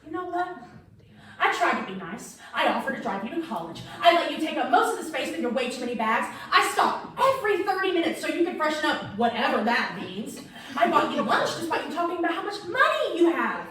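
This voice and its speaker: A woman's voice: sits high, big pitch swings, brisk, a bit bright. A woman in a staged play reading, playing an earnest, exasperated college girl who means well. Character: well-meaning college girl pushed past her patience. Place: echoey indoor room, far mic. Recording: distant, echoey room.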